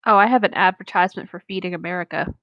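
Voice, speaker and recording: A woman's voice: lowish voice, racing, dry recording, muffled recording. Woman reading a story, very dry sound. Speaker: co-host reading stories aloud. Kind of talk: story reading with casual banter.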